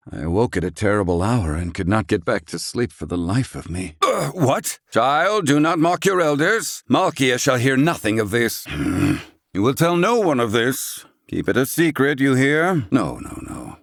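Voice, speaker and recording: An actor playing a proud, gruff, excitable older scholar-inventor in a video game, speaking in formal, archaic, theatrical phrasing. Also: male voice, medium pitch, dry recording, clean studio sound. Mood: embarrassed, indignant. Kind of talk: video game character acting.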